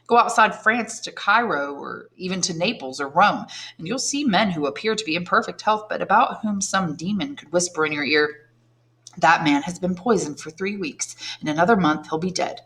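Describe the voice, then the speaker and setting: A woman voicing a calm, worldly nobleman who explains poisons with measured courtesy. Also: on the low side. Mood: smooth, knowing. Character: suave worldly nobleman, the Count, calm and knowing.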